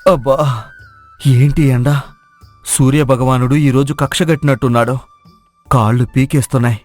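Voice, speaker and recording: Guy playing a kind humble village cook in a moral tale, earnest, close dry recording, soft music under. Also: medium pitch, fairly even intonation, normal speed, no room sound, natural voice. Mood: weary, determined. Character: humble, kind-hearted village cook, honest family man. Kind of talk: moral story voice acting. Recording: dry studio voice over music.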